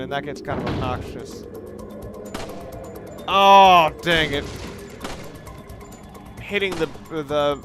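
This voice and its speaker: A man giving casual, hesitant video game commentary with wry, self-deprecating asides. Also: on the high side. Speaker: video game let's player. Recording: game music and gunfire behind. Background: game music, gunfire.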